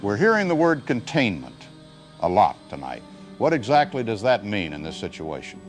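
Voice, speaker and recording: A man's voice: lowish voice, huge pitch swings, moderate pace. Sober male evening news anchor. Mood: sober, formal. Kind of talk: scripted news anchoring in audio drama. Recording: music bed under the voice.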